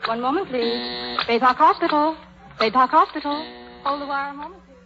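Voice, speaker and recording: A woman's voice: high voice, normal speed, background noise. Efficient hospital woman, old radio. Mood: brisk, businesslike. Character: efficient, obedient female hospital staffer. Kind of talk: 1930s radio drama acting. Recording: muffled 1930s radio broadcast with music.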